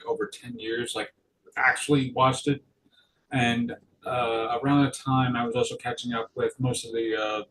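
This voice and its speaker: A man's voice: mid-range voice, fairly bright tone, a little hiss or hum. Rambling man, far from the mic. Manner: rambling, halting, self-correcting. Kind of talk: casual chat.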